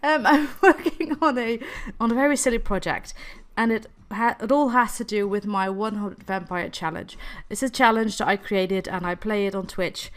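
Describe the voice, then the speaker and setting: Giggly woman with a nasal post-cold voice. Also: medium pitch. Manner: giggly, often laughing; hesitant, repeats words and stalls. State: recovering from laryngitis, slightly nasal. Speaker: gaming video creator. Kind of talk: casual chatty game vlog. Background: faint background music.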